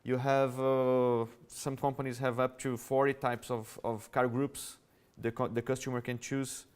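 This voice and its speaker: A man with a Brazilian Portuguese accent gives a conference presentation, explaining his points in an easy, conversational way and addressing the audience directly. His voice is smooth and clear and sits at a medium pitch. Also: level tone, unhurried. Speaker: conference speaker. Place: conference hall.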